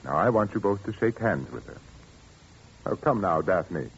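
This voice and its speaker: A man's voice: low-pitched, animated, moderate pace. An actor playing an overwrought, commanding widowed father with genteel menace, in 1940s radio suspense style. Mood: genteel, insistent. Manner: theatrical, commanding. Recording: muffled, noisy 1940s radio recording.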